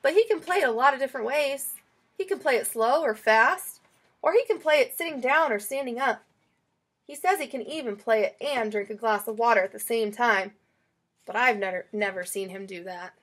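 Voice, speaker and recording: A woman reading a children's picture book aloud in an expressive, storytelling way. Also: fairly high pitch, normal speed, slightly rough. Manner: expressive, acts out quoted lines.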